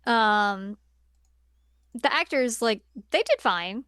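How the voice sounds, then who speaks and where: A woman's voice: fairly high pitch, animated, slow, a bit bright, clear, resonant tone, no echo, studio quality. A woman co-hosting a podcast, reviewing films in casual, playful and agreeable conversation. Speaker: podcast co-host. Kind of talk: casual film review chat.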